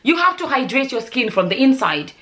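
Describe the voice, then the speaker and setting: A woman's voice: high-pitched, sing-song, a little fast, sharp tone. A woman, talking straight to camera in a casual vlog, earnest and repeating herself for emphasis. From Nigeria. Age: 40s. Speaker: vlogger.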